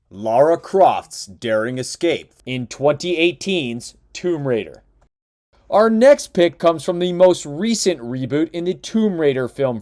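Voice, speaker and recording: A man narrating a scripted countdown voice-over, with a lively, emphatic listing delivery. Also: a little high.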